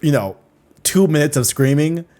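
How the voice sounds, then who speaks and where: A man's voice: on the high side, moderate pace, warm tone. A man hosting a casual podcast, rambling and often repeating "you know what I'm saying.". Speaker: podcast host.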